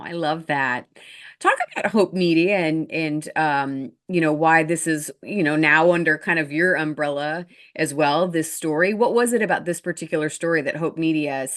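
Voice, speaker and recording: A woman's voice: low voice, moderate pace, a bit bright. A woman hosting a talk show, chatting in an upbeat, informal way as she interviews a guest. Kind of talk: casual interview chat.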